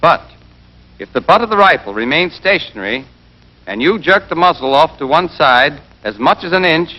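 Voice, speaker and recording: A man playing a brisk, exacting American army rifle instructor in a scripted 1940s training film, drilling and quizzing with emphasis. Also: on the high side, animated, bright, forceful tone. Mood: firm, emphatic. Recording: dull band-limited 1940s film soundtrack.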